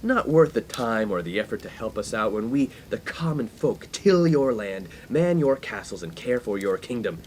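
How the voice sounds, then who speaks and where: A man's voice: medium pitch, animated, normal speed, hardly any pauses, darkish tone, a little hiss or hum, natural voice. Man playing a pompous young 1950s college schemer. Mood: indignant, grandiose. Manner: grandiose, wordy, theatrical speechifying. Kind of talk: comedic radio play acting.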